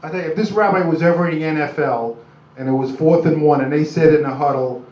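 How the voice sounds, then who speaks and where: An older man with a New York accent does halting, self-deprecating, rambling stand-up comedy. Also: fairly high pitch, recorded from a distance. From Brooklyn, New York, USA. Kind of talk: live stand-up comedy, crude jokes. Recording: dull, noisy, echoey club recording from afar.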